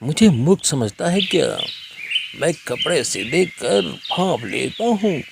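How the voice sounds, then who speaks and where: A man's voice: a little high, very animated, slow pace, creaky, very noisy, heavily acted. A man voicing an arrogant, menacing forest bandit in a cartoon moral story, scornful and boastful.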